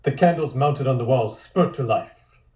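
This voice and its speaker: A man's voice: mid-range voice, moderate pace, darkish tone. A man reading a comic script aloud as narrator. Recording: echoey room.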